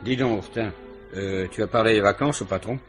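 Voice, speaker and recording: An actor playing a chummy, obliging police subordinate with a familiar colloquial manner in a 1950s-style radio mystery. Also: male voice, on the low side, a little fast, loud music behind the voice, natural voice.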